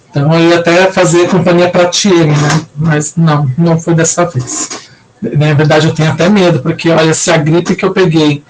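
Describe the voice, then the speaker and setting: High-voiced teacher, rambling. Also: male voice, low-quality recording. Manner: rambling, many fillers and restarts. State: recovering from a cold. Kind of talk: casual online lecture.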